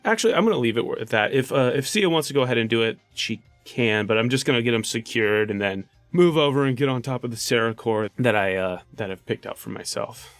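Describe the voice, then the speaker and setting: A man playing a tabletop roleplaying game, talking casually and haltingly as he thinks aloud. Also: mid-range voice, lively intonation, no echo, faint music behind.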